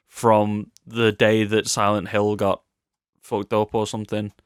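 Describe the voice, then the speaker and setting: A man with a fairly low voice chats casually as a podcast co-host. His delivery is informal and conversational, and the recording is close and dry. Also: monotone, measured pace, clear, resonant tone, no echo. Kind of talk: casual film discussion.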